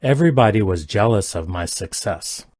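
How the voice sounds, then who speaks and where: A man's voice: lowish voice, normal speed, no echo, clean studio sound. Man reading practice sentences twice. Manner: reads each sentence twice, careful and even. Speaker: English sentence reader. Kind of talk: slow repeated sentence reading for learners.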